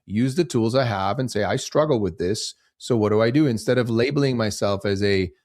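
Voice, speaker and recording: Man in his fifties, low and dry. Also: brisk, a little mellow, no room sound. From Switzerland. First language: German. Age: 50s. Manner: reflective, asks himself rhetorical questions. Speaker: podcast guest, movement founder. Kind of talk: casual interview conversation.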